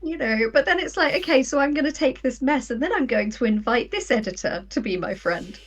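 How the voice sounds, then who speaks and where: A woman in her thirties chatting casually as an interview guest, telling things in an animated way and acting out imagined quotes. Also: high-pitched, fairly quick, recorded from a distance. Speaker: guest, writer.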